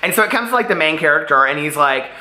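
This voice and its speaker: A man's voice: fairly high pitch, expressive, fast talker, bright, forceful tone, echoey room. A man chatting casually and animatedly as a book vlogger, rambling off on tangents.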